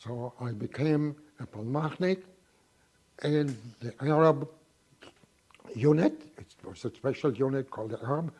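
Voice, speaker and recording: Husky-voiced man in his nineties. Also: mid-range voice, warm tone. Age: 90s. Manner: halting, reminiscing. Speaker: interviewee. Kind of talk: oral history interview.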